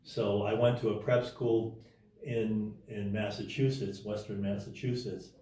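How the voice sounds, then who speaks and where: A man telling his life story as an interview guest in a casual, halting way, with many restarts and fillers. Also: lowish voice, steady tone, warm tone, heavy reverb, far from the mic.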